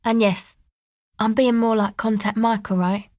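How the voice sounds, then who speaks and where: A woman's voice: fairly high pitch, level tone, a little slow, a little mellow, no room sound, natural voice. An actress playing a guarded, laid-back young woman who leads a streetwise crew in a video game, speaking casually with dry understatement. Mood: awkward, grateful. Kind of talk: video game dialogue acting.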